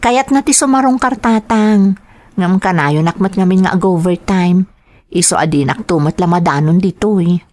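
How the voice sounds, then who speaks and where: A woman's voice: fairly low pitch, moderate pace, darkish tone, natural voice. A woman playing an earnest, dutiful young woman in an audio drama, with a Filipino accent. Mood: earnest, worried.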